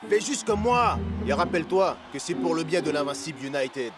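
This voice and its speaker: A man's voice: on the high side, animated, brisk, a crowd in the background, lightly acted. A man voicing a bossy, devious hooligan in a French cartoon, scheming and easily exasperated. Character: bossy, devious male hooligan posing as a fan.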